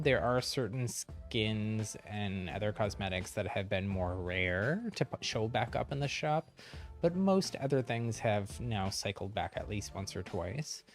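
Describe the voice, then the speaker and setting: A man hosting a game livestream, explaining things casually in a rambling, easygoing way with a gravelly voice. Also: on the low side, sing-song, unhurried, soft background music.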